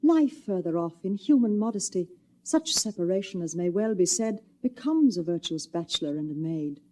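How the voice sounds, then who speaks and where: A woman's voice: lowish voice, expressive, normal speed, mellow. A woman playing Hermia, a young woman speaking earnest verse. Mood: gentle. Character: young woman Hermia, modest then frightened. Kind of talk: stage drama acting.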